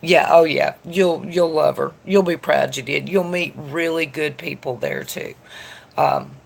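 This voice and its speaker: A woman's voice: sits low, expressive, a little slow, gravelly. A woman, stuffed up from allergies, chatting casually with viewers on a tarot live stream. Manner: chatty, rambling, greets viewers by name. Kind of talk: casual live-stream chat reading comments aloud.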